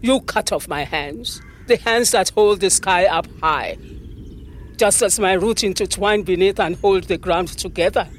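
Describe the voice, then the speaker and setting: A woman's voice: medium pitch, a little slow, slight background noise, close to an everyday voice. A woman playing an ancient talking olive tree in an audio drama, a stern, motherly spirit with a Nigerian accent and a grand, reproachful way of talking. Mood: accusing.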